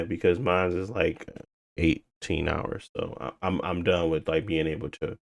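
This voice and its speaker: A man with a deep voice co-hosts a gaming podcast. He talks in a loose, informal way, working out his thoughts as he goes. The sound is dry, with little room around it. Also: vocal fry, dry recording. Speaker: podcast co-host. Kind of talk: casual video game discussion.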